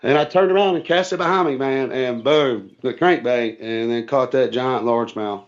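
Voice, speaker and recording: A man's voice: mid-range voice, moderate pace, faint music behind. A man on a call telling stories casually as a guest, in a plain-spoken, folksy way. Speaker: interview guest, tournament angler. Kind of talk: casual storytelling chat. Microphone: remote call. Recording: dull lo-fi call audio.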